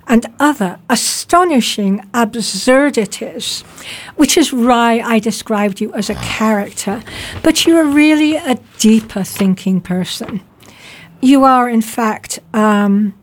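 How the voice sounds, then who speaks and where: A woman hosting a radio interview in a studio, talking in a conversational way with some filler words. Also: a little high. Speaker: radio show host.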